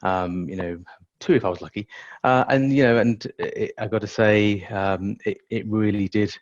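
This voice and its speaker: Measured man on a dull line. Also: on the low side, fairly even intonation. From England. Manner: measured and careful, with frequent "uh" and "you know". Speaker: interview guest, hospital clinical leader. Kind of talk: conversational interview answers.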